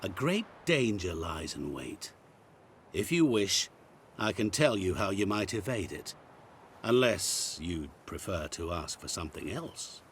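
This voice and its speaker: An actor playing a sly, calm otherworldly bargainer in a video game, speaking in measured, theatrical phrases over faint rumble. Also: male voice, exaggerated voice. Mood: smooth, knowing. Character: sinister otherworldly bargain-making man, calm, sly and knowing. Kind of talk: video game dialogue acting.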